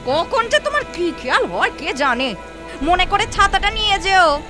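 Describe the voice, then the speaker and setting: A woman's voice: extremely high pitch, very animated, moderate pace. A woman playing a dutiful, fretful village daughter-in-law in an audio story. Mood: grumbling. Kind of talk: Bengali audio story acting. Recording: music bed under the voice.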